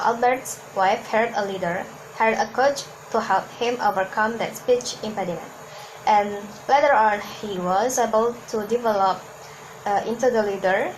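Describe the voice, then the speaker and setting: Cantonese-native woman from hong kong teaching public speaking, kinda high voice, earnest, restarts words, faint background hum. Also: poor audio. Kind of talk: instructional talk to camera.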